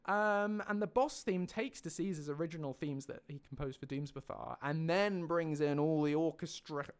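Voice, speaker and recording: A man's voice: a little high, normal speed, smooth. A man hosting a livestream, casually explaining updates to viewers in an enthusiastic, rambling way. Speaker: mod creator and livestream host.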